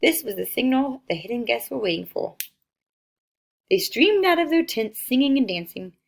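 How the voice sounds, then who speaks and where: Animated woman reading a children's story. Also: a little high, normal speed, gravelly. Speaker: read-aloud storyteller. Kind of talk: expressive children's picture book narration.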